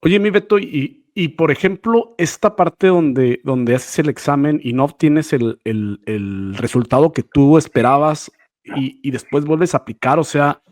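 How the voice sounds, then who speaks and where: The higher-voiced Mexican man you'd hear hosting a laid-back podcast, chatting with guests like old buddies in slang. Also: unhurried, a little mellow, no echo, studio quality. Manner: familiar, slangy, buddy-like. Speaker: podcast host, interviewer. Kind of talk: casual conversational interview.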